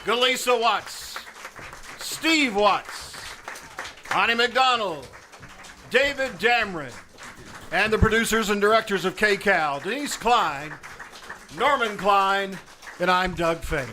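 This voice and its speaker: Bright-voiced man addressing a theater audience. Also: high voice, expressive, slow pace, pauses often, a faint crowd. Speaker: stage host and announcer. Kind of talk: curtain-call address to a live audience. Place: small-town theater stage with live audience. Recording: live theater stage, audience and background noise.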